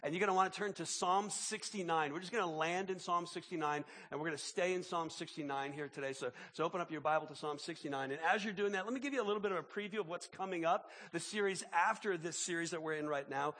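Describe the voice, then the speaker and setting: Fast-talking sick pastor, voice deeper than usual. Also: male voice, a bit bright, big-room sound. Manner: repetitive restarts, emphatic pleading. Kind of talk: sermon with opening prayer and announcements. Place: large church auditorium.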